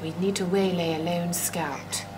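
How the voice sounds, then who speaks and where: An actress playing a level-headed, practical adventurer companion in an audio drama, speaking in a dry, formal, matter-of-fact way. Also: female voice, lowish voice, fairly even intonation, a little slow, background noise, lightly acted. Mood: dry, doubtful.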